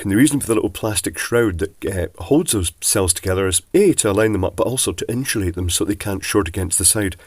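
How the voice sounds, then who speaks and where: A man with a cold, sounding bunged up and croaky, casually explaining electronics as he takes a device apart. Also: fairly low pitch, a little mellow, no room sound. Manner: chatty, rambling asides. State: congested from a cold, husky. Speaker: electronics teardown presenter.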